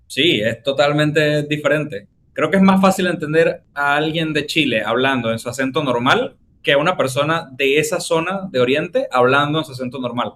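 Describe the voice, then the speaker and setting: A man's voice: on the high side, moderate pace, few pauses, fairly bright tone, a little hiss or hum. A man with a Venezuelan accent, hosting a casual podcast chat and explaining his ideas with long everyday examples. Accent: Venezuelan Spanish. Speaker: podcast host.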